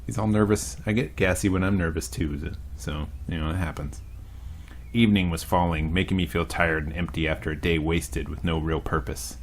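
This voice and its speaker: Low-voiced man reading a game aloud. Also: steady tone, normal speed, a little hiss or hum. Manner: dry, joking asides between narration. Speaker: let's play host. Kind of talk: reading a visual novel aloud with commentary.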